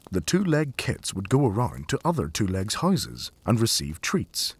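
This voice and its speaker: A man narrating an animal adventure story in an expressive storybook style. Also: fairly low pitch, husky. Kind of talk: animated fan story narration with character voices.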